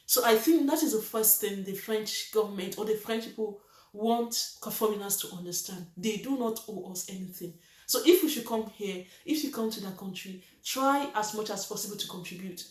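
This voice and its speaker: A woman's voice: medium pitch, moderate pace, darkish tone, roomy sound, rough recording. A Nigerian woman talking to camera in a vlog, earnest and emphatic, repeating her points. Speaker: vlogger. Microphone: reads from a list below the camera.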